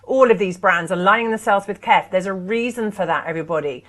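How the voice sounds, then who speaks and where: A woman's voice: mid-range voice, fairly quick, fairly bright tone. A woman presenting artwork on a live auction stream, enthusiastic and persuasive.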